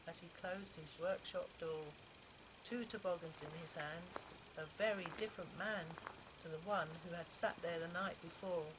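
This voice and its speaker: An older woman reading a children's story aloud in an expressive, heartfelt storytelling manner. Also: on the low side. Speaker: author reading her own children's stories. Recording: noisy home-style recording, constant background noise.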